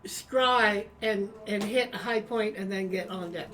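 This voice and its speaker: Hesitant female player, halting speech, distant mic. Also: mid-range voice, fairly bright tone, a little hiss or hum. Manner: hesitant, lots of ums and uhs. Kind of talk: casual tabletop chat.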